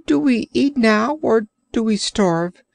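A woman's voice: fairly high pitch, animated, slow pace, gravelly, dry recording, pitched above the performer's own voice, heavily acted. A woman voicing a whiny, complaining male orc creature in a storybook reading, groaning and self-pitying.

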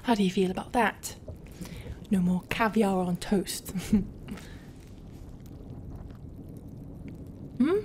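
Casting: actress as a flustered, soft-hearted lady pirate captain for intimate audio roleplay; unhurried, hesitant, half-whispered, over noticeable ambience. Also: mid-range voice, slight background noise, natural voice. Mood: exasperated, teasing. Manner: hesitant, halting, often breathy half-whisper. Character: conflicted, flustered female pirate captain, gruff but tender. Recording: steady ambient sound effects behind voice.

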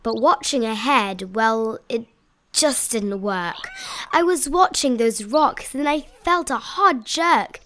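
A young woman reading rhyming children's picture books aloud in an expressive, playful storytelling style. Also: fairly high pitch, slow pace, slight background noise. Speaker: children's picture book reader.

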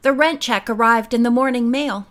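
A woman's voice: on the high side, normal speed, a bit bright, natural voice. A woman voicing Joanne, an earnest young farm girl who speaks plainly.

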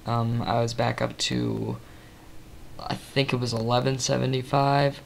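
A young man in his twenties giving a casual late-night webcam update, tired and slightly raspy, rambling with many ums. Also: flat delivery, measured pace, a little hiss or hum. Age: 20s. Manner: rambling, many ums, soft and low-key. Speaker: vlogger. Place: small room indoors. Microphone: built-in webcam mic at close range.

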